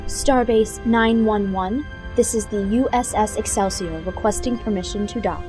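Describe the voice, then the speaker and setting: A woman's voice: mid-range voice, normal speed, natural voice. A woman playing a guarded starship officer. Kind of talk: fan audio drama acting. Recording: music score underneath.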